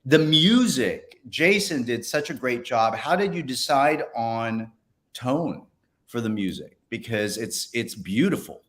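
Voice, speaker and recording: Male interviewer, middle register, highly animated melody, casual and full of praise. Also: unhurried. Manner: enthusiastic, complimentary.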